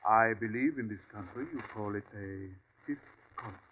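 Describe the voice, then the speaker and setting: A man's voice: lowish voice, normal speed, natural voice. A man playing a suave Martian villain. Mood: smooth menace. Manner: suave, courteous, coldly condescending. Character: calm superior male Martian invader posing as ranch host. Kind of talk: 1940s radio acting. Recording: tinny old radio broadcast with faint hiss.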